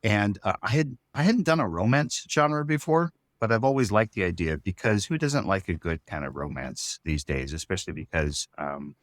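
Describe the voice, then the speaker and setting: Thoughtful lower-voiced man, dry sound. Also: expressive, normal speed, few pauses, dry recording. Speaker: series writer, interview guest. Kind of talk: thoughtful conversational explanation.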